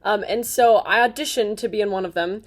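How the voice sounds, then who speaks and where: A woman in her twenties hosting a casual chat, bubbly and quick to laugh and shout. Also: medium pitch. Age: 20s. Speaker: host.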